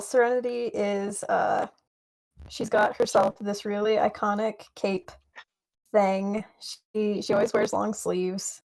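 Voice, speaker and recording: A woman's voice: medium pitch, gravelly. A young woman answering questions as a guest in a casual online interview, rambling with fillers and playful asides. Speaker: interview guest, roleplay player.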